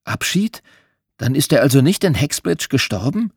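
A man's voice: mid-range voice, big pitch swings, a little fast, creaky, breathy edge, dry recording, clean studio sound, exaggerated voice. A man voicing a blunt, curious young girl in a storybook. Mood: surprised. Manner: blunt, nosy, later sleepy.

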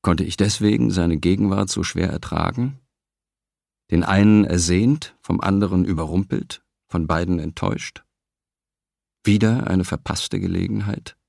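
Deep raspy guy reading a novel slow and thoughtful, super dry mic. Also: a little mellow, no room sound. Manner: measured, reflective. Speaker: audiobook reader. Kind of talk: scripted narration.

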